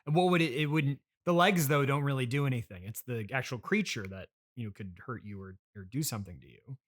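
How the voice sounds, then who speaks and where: A man's voice: medium pitch, fairly quick. A man hosting an improvised comedy conversation, speaking in an awkward, hesitant but earnestly reasoning way. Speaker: podcast host playing himself.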